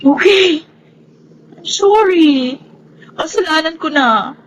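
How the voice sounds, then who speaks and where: A high-voiced Filipino woman, a defiant, scheming big sister in a radio drama. She argues with emotion and mixes in English, over faint noise. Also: expressive, slow, dull audio, exaggerated voice. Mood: pleading. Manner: emotive, argumentative, Tagalog-English code-switching. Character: defiant, scheming elder sister, pleading girlfriend.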